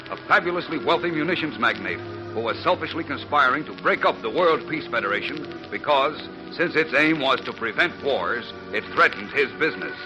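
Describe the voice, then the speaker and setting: A man's voice: fairly high pitch, rough recording. A man narrating a 1940s radio adventure serial as announcer, in an emphatic, formal, suspenseful style. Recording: old radio broadcast with music.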